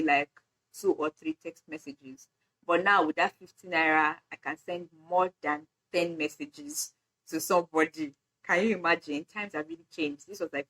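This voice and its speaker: A woman's voice: sits low, fairly bright tone, low-quality recording. A young Nigerian woman with a Nigerian accent, whose first language is Edo, chatting casually in a get-to-know-me vlog, with frequent "like" fillers and laughs. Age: young adult. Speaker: vlogger.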